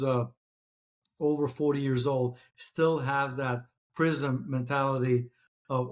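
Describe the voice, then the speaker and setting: A middle-aged man originally from Czechoslovakia, whose first language is Czech, answering interview questions. His voice sits at an ordinary middle pitch. He stumbles and restarts often, padding his sentences with fillers. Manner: hesitant, many restarts and fillers. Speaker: author and guest. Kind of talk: conversational interview.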